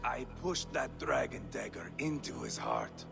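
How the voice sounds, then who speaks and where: Gravelly, slow, dying Viking warrior. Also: male voice, mid-range voice, wildly swooping pitch, over music, exaggerated voice. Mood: bitter taunting. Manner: slow, halting speech. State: badly wounded, weak. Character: dying Viking warrior, bitter, defiant, taunting. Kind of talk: dramatic video game acting.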